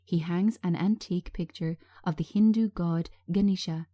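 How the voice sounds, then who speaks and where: A woman narrating a screenplay in a measured, scripted reading style. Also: fairly low pitch, fairly even intonation, warm tone. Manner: measured, present-tense scene reading.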